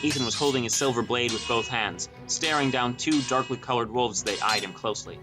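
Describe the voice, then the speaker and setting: A man's voice: mid-range voice, a little fast, music in the background. A man reading a scripted first-person story as an audiobook narrator.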